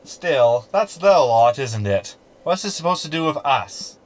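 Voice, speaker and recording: An actor playing a grumpy, sardonic ship's hologram who grumbles through a sci-fi sitcom audio drama. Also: male voice, a little high, lively intonation, measured pace. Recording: echoey room with background ambience.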